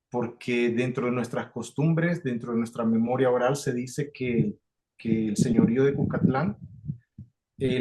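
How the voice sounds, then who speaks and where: A man's voice: mid-range voice, slight background noise. A Salvadoran man, a community facilitator, talking in a video call interview, with many fillers and restarts.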